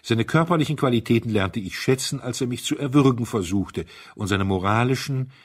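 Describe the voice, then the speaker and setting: A man voicing a gentle, serenely cheerful priest in an audiobook, with an unhurried, good-humoured solemnity. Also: mid-range voice, husky, voice pushed up, lightly acted. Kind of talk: audiobook character acting.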